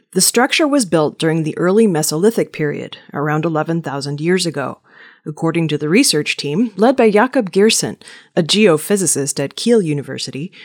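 A woman reading scripted news stories as a narrator. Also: low voice. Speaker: news reader.

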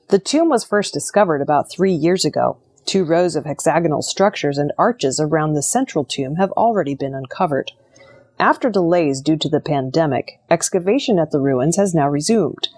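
A woman with a lowish, husky voice reads prepared news items. She narrates in a scripted news style, with a roughened edge to her tone. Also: darkish tone. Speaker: news reader.

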